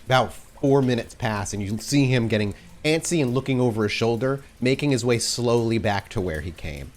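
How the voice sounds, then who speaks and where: A man narrating a tabletop roleplaying game as game master, setting scenes in a measured, atmospheric way. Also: mid-range voice, lively intonation.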